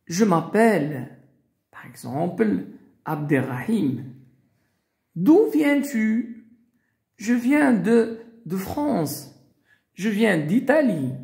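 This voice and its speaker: A man teaches a beginner lesson at a very slow, careful pace, lingering over every example. His voice is dark and gravelly, and his pitch rises and falls a lot as he stresses each word. The room around him is echoey. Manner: slow, deliberate, spells out and repeats examples. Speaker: French teacher.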